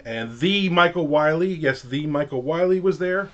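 A man's voice: on the high side, normal speed, a bit bright, lo-fi sound. A middle-aged man with a New Jersey accent, chatting casually and rambling on a livestream from his home office. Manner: rambling, chatty, lots of fillers and restarts. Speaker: author hosting a livestream. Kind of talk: casual chat. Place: home office with shelves of collectibles.

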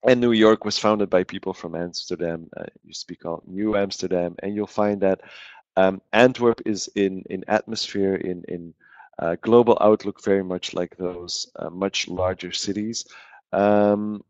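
A man presents a live webinar through a headset, pausing often on fillers and restarting his sentences. His voice sits low, and the call audio is dry and dull. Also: steady tone, darkish tone, no room sound. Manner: hesitant, many fillers and restarts. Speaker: university webinar host. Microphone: headset on a video call.

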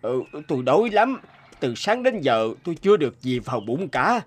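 An actor playing a portly god of wealth in a cartoon folktale, theatrical and exclamatory, with drawn-out words. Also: male voice, a little high, big pitch swings. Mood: bewildered, pleading. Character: portly god of wealth, hungry amnesiac beggar turned stern deity. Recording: music behind the voice.

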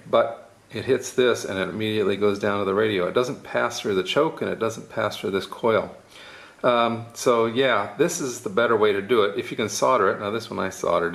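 A man's voice: fairly low pitch, creaky, fairly bright tone. A man giving a casual, hands-on tutorial, explaining things informally step by step. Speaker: DIY tutorial presenter.

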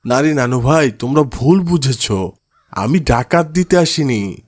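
A man's voice: mid-range voice, measured pace, a little mellow, no echo, lightly acted. A man voicing a kindly, good-humoured old grandfather in a cartoon moral story. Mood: explaining, good-humoured.

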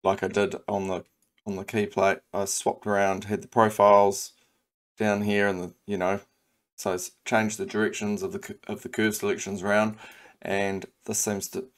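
A man with a New Zealand accent talking through a software modelling tutorial, thinking aloud with frequent ums and restarts. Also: on the low side, little pitch movement, moderate pace. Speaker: CAD design tutor. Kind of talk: screen-recorded software tutorial.

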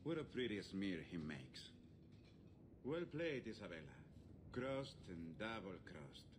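A very deep male villain for a fantasy video game, a smooth and menacing trader in slaves. He drawls mockingly with expressive swings in tone, over noisy game ambience. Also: slow pace, heavily acted. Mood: mocking, smug. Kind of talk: scripted video game dialogue. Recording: game audio with clear background noise.

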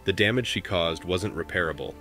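A man in his thirties hosting a commentary show, reading posts aloud in a steady, measured way. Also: deep voice, faint music behind. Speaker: commentary host.

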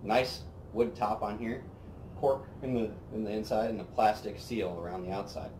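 A man's voice: fairly low pitch, moderate pace, background noise. Young man hosting a casual whiskey chat. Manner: relaxed, rambling, lots of fillers. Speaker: whiskey review host. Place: outdoor patio by a brick wall. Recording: roomy outdoor sound with faint ambience.

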